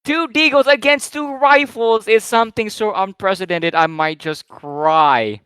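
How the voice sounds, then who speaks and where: Male game caster, high voice, slightly croaky, excitable rambling delivery. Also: a little slow, no room sound. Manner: excitable, rambling, filler-heavy play-by-play. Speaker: esports caster. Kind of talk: live play-by-play game commentary.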